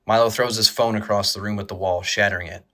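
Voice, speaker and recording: A man's voice: on the low side, steady tone, quick pace, sharp tone. A man reading scripted dramatic narration for an audio drama.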